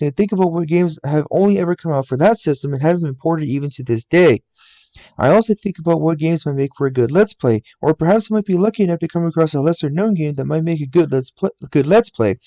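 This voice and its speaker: A young American man narrates his own gaming channel in a matter-of-fact, earnest way, his voice a little higher than most men's yet warm and mellow. It is recorded close on a laptop mic in a room at home, so it comes through dry and somewhat muffled. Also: quick pace, dry recording. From United States. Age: 20s. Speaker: YouTuber. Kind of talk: scripted voice-over narration. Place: game room in a family home.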